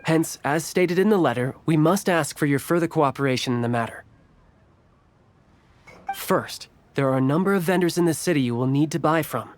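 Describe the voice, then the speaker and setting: A man's voice: on the high side, moderate pace, close to an everyday voice. Man voicing a courteous merchant official. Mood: businesslike. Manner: courteous, formal, businesslike. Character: courteous male merchant guild official, adult, polished. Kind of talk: video game cutscene voice acting.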